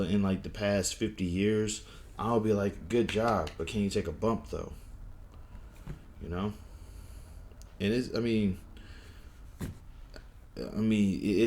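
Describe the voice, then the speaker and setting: A man's voice: fairly low pitch, darkish tone. A young man with a lisp and a stutter, rambling through a casual opinion monologue with many fillers and restarts. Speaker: wrestling vlogger.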